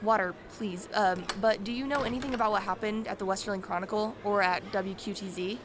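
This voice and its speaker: A woman's voice: mid-range voice, fairly even intonation, fairly quick, noisy background, natural voice. A woman playing a chatty, curious true-crime podcaster in a radio play, telling her story informally.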